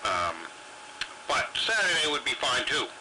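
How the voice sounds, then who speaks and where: A man's voice: mid-range voice, sing-song, measured pace. A man chatting casually over CB radio, swearing freely and often doubling back on his phrases. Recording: very noisy CB radio transmission.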